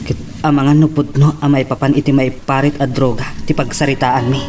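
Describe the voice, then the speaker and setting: A man's voice: mid-range voice, level tone, a little fast, over music, close to an everyday voice. A man acting a guarded man under suspicion in an Ilocano radio love drama. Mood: wary.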